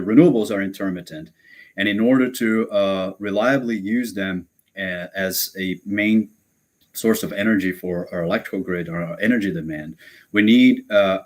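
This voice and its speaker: Deep-voiced Brazilian-born man lecturing, hesitant. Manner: hesitant, many fillers and restarts. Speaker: guest lecturer. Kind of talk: academic webinar lecture.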